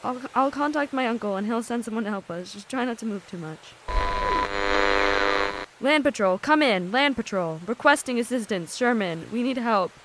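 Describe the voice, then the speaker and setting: A woman playing a frightened young hostage in an audio drama, speaking in an anxious, halting, pleading way. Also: a little high, lively intonation, heavy background noise, lightly acted. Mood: shaken, pleading.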